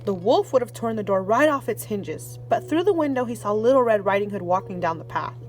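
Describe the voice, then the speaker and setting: A woman reading a children's fairy tale aloud, her voice rising and falling with plenty of expression. Her pitch sits in a middle range. Soft music plays faintly behind her. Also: fairly quick. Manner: expressive read-aloud, warm sign-off to kids. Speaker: storyteller.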